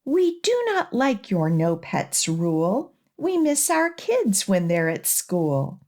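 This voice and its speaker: Lady being storybook pets asking nicely, plaintive and earnest, really slow. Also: mid-range voice, huge pitch swings, darkish tone, exaggerated voice. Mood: pleading. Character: earnest pleading school pets writing a note.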